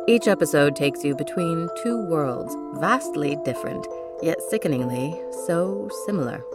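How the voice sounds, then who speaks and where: Low dramatic woman narrator over music. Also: animated. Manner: dramatic, brooding, drawn-out phrasing. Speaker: narrator, presenter of audio drama. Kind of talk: theatrical scripted narration. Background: mallet percussion music.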